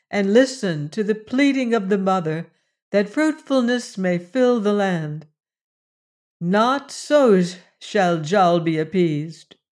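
A man voicing a young woman posing as a goddess, proclaiming in a stately, solemn way. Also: sits high.

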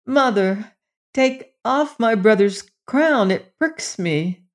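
A woman's voice: a little high, expressive, takes it slowly, voice pushed up. A woman voicing a queen's little son in a storybook, a suffering young prince who whines and pleads. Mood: plaintive. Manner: whining, pleading.

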